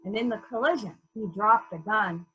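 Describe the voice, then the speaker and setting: A woman playing a brisk, suspicious police investigator questioning witnesses in a dramatic murder mystery reading. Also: mid-range voice, a bit bright, highs cut off, lightly acted. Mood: suspicious, musing. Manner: probing, businesslike, sceptical. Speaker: library staff member reading a part.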